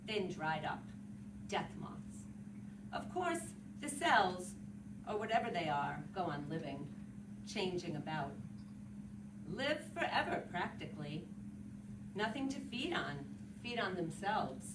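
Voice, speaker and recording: A woman reading as a wry, slow-musing funeral-goer. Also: fairly low pitch, sing-song. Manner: musing, drawn-out, broken into short phrases. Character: musing, wry man at a funeral, morbidly curious. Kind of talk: dramatic literary reading. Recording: echoey, distant, very noisy room.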